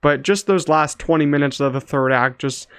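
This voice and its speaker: A man's voice: a little high, moderate pace, clear, resonant tone, no echo, studio quality. Casual vlog reviewer, young man, clean voice with very little room sound, slangy and hedging. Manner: loose, hedging, slangy, frequent "like". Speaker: movie reviewer, channel host.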